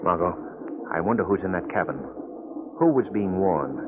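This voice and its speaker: An actor playing a composed, authoritative gentleman sleuth in a 1940s radio drama, measured and urbane. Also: deep voice, close to an everyday voice. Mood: hushed, alert. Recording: muffled, noisy vintage radio.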